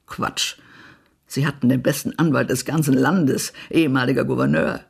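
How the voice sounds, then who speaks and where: An actress playing a spiteful, haughty small-town society gossip in a German radio play, blunt and imperious. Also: female voice, a bass voice, steady tone, normal speed, creaky, slightly rough, heavily acted. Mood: imperious, accusing. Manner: blunt, acid, imperious, swearing.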